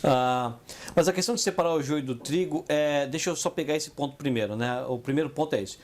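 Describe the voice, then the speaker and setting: A Brazilian man co-hosting a casual business podcast, telling stories in an animated way and acting out the voices of the people he quotes. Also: a little high, fairly quick. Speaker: podcast co-host.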